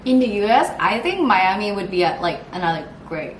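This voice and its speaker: A young woman from Thailand talks in an easygoing way while she does her makeup at home. Her voice sits at a middle pitch, and the room sounds roomy and echoey, with faint noise underneath. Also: brisk. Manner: relaxed, many "like" fillers. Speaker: co-host, guest friend. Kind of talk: casual chat while doing makeup.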